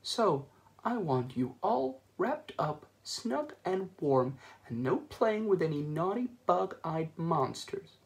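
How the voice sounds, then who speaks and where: A man's voice: a little high, very animated, takes it slowly, creaky, voice pushed up, full character voice. A man voicing a bossy, motherly female ship computer that speaks with a fussy, matronly twang.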